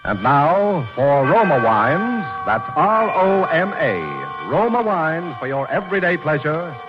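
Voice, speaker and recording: Emphatic male announcer over loud music. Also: fairly high pitch, wildly swooping pitch, takes it slowly. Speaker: sponsor announcer. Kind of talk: 1940s radio sponsor announcement. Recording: muffled old radio recording, loud music.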